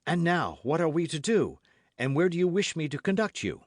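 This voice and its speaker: A man's voice: on the high side, very animated, moderate pace, dry recording, clean studio sound, voice pushed up. A man reading an audiobook as a brave, eager young guardsman with an emphatic, courtly way of speaking. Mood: urgent, decisive. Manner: emphatic, courtly, formal speech.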